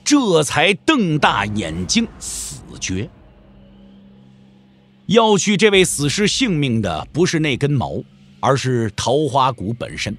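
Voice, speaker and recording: Animated male storyteller, slightly high voice. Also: slow pace, faint music behind. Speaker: narrator. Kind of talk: dramatic scripted storytelling of a wuxia audio drama.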